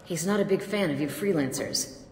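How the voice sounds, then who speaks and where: A woman voicing a worn-down mercenary lieutenant with a low voice. She talks frankly, with open resentment. The sound is roomy and reverberant, with faint noise behind. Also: brisk, darkish tone, close to an everyday voice. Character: female mercenary lieutenant, bitter, weary. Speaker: mercenary officer. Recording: echoey game mix.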